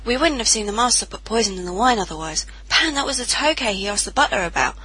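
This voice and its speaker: Woman playing a bright, fast-talking headstrong girl. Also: fairly high pitch, lively intonation, a little hiss or hum. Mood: defiant, urgent. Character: headstrong, impulsive young girl, defiant and urgent. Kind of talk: audio drama acting.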